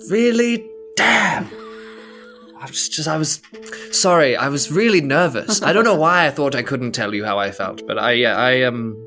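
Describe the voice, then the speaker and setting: Man playing a halting, earnest young adventurer. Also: mid-range voice, lively intonation, bright, forceful tone, soft background music. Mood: relieved, flustered. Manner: halting, apologetic, repeats himself. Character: earnest, awkward, nervous male adventurer, tender-hearted.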